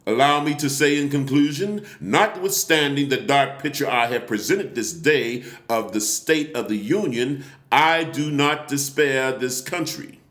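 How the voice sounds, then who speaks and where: An actor playing an indignant, solemn nineteenth-century abolitionist orator, declaiming a formal speech deliberately with dramatic pauses and emphasis. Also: male voice, medium pitch, a bit bright, natural voice. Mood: resolute, emphatic. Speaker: actor reading a historical speech. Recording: echoey, roomy sound.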